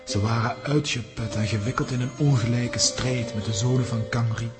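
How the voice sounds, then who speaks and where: An actor playing a gravely wise head lama of a mountain monastery, telling things in a solemn, formal, measured way for a children's audio drama. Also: male voice, medium pitch, fairly even intonation, natural voice. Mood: troubled. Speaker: character telling a mountain legend. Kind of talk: Dutch-language children's audio drama acting. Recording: long reverberant hall with music behind.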